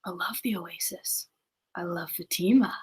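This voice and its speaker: A woman's voice: fairly low pitch, unhurried, breathy edge, pitched below the performer's own voice. A woman voicing a young shepherd boy from a novel, lovestruck, anxious and stubborn. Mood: reluctant.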